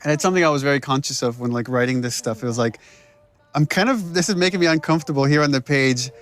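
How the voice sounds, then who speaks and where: A man's voice: mid-range voice, fast talker, a bit bright, faint music behind. A man giving casual, reflective director's commentary over game cutscenes in a conversational way.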